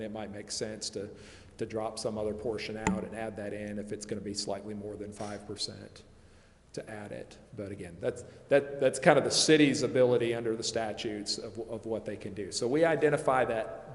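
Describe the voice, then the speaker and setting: A man explaining rules at a public meeting, carefully qualifying points and working through hypothetical examples. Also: fairly low pitch. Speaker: consultant advising a city committee. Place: city council chamber. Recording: echoey meeting chamber, faint background noise.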